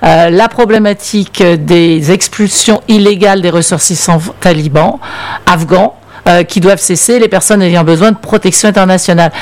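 A woman's voice: fairly low pitch, normal speed, darkish tone. A woman speaking on a radio discussion show, ironic and indignant, reading out excerpts.

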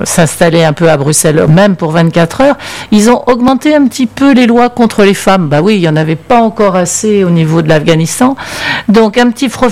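A woman's voice: mid-range voice, darkish tone. A woman speaking on a radio discussion show, ironic and indignant, reading out excerpts.

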